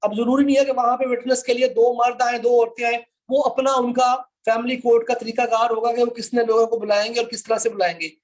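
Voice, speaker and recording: A woman's voice: fairly high pitch, fairly even intonation, fast talker, hardly any pauses, darkish tone. A woman with a Pakistani accent lecturing on an online class, reading formal text aloud and explaining it with frequent short check-in tags. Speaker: law lecturer.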